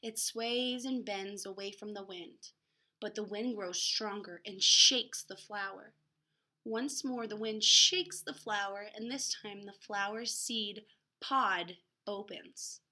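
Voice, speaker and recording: A woman's voice: mid-range voice, slow, pushed and bright. A woman reading a picture book aloud to children expressively, with dramatic emphasis and casual, chatty asides. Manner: dramatic emphasis, gasps and exclamations.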